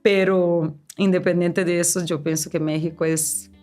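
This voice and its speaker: A woman from Brazil, a businesswoman guest in a casual interview, talking in an animated, chatty way with frequent restarts. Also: on the low side, normal speed, soft background music. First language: Portuguese.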